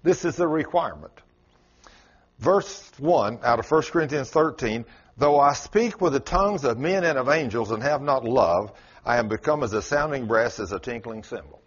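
A man with a South Texas drawl preaches in a plain, folksy style. His pitch rises and falls widely for emphasis, and his voice has a husky edge. The recording sounds dull and muffled. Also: animated, normal speed. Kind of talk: sermon.

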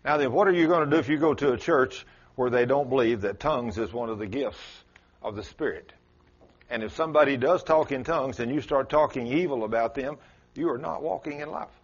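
A man's voice: brisk, lo-fi sound. A man with a South Texas accent preaching a sermon in a folksy, emphatic way. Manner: folksy, emphatic, swings pitch up for stress.

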